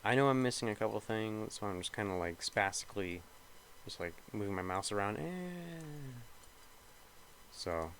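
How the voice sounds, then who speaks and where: A man's voice: fairly low pitch, animated, moderate pace, a bit bright, a little hiss or hum. A young man with a slightly nasal voice, rambling casually and thinking aloud as he talks over gameplay. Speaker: gaming video creator.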